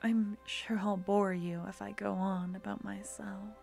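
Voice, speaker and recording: Brief: young fantasy necromancer girlfriend, doting and composed, played by a woman, slow gravelly close-mic whispers over faint music. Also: medium pitch, little pitch movement. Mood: confiding. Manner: soft, intimate, drifting in and out of whispers. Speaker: ASMR roleplay performer. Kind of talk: ASMR fantasy audio roleplay. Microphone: close ASMR microphone.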